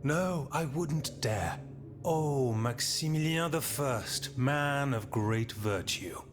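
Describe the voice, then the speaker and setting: An actor playing a scornful, sarcastic rival politician of a revolutionary assembly in a game cutscene. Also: male voice, mid-range voice, lively intonation, slow pace. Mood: mocking. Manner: mocking, scornful. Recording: music under the voice.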